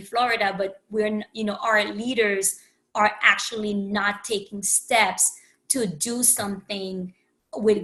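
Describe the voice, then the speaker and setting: A woman from Haiti talks alone on her podcast in a frank, meandering way, restarting sentences and leaning on "you know". Her voice is bright and forward, sitting at an ordinary pitch for a woman. She sounds like a coach sharing personal reflections. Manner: candid, rambling, with frequent restarts and "you know". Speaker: podcast host and empowerment coach. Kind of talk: reflective solo podcast monologue.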